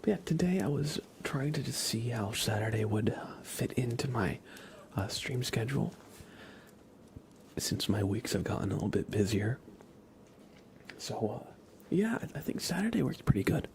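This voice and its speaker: A man hosting an ASMR livestream, chatting casually in a soft whisper. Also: mid-range voice, big pitch swings, normal speed, vocal fry, long pauses, slight background noise. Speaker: livestream host.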